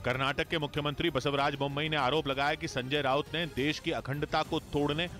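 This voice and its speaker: A man reading a scripted news bulletin in a steady, formal newsreader delivery. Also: a little high, fairly quick, faint music behind. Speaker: news reader.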